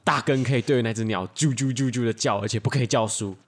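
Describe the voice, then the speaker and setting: A man's voice: medium pitch, fast. A man co-hosting a podcast, casually improvising a story and bantering with friends.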